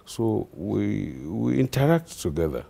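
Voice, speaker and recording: A Nigerian man, a traditional ruler, answering interview questions in a halting way with many fillers. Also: lowish voice, very animated, vocal fry, mellow, husky.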